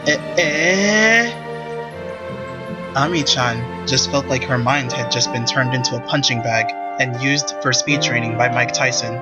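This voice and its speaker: A man's voice: mid-range voice, flat delivery, normal speed, hardly any pauses, over loud music. A man reading scripted story narration for a visual novel.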